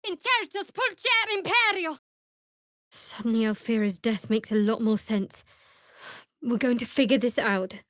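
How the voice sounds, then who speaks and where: A guarded, sardonic cleric, higher-pitched and dry. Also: female voice, fairly bright tone, no echo. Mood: forceful, urgent. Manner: dry, wry, measured delivery. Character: guarded, sardonic young woman cleric devoted to a dark goddess. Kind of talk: video game character voice lines.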